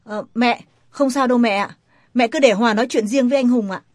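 An actress playing a soft-spoken young woman who calms an angry mother in a family drama. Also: a little high, fairly quick, no echo, clean studio sound, lightly acted. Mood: reassuring. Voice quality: soft, placating. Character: gentle young woman soothing her partner's mother. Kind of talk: radio-style family drama.

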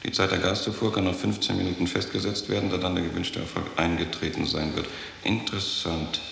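An actor playing a dry, sceptical, cold bureaucrat with a gravelly voice and measured manner in a 1960s German radio drama. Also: male voice, low-pitched, flat delivery, a bit bright, exaggerated voice. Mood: cool reading. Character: dry, sceptical male bureaucrat, coldly approving. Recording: echoey hall, faint hiss.

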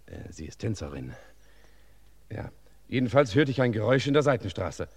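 An actor playing a long-winded, self-important cook giving a hesitant witness account in a German radio crime play. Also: male voice, fairly low pitch, big pitch swings, normal speed, husky, dry recording, exaggerated voice. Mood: rambling. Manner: rambling, circumstantial, hesitant.

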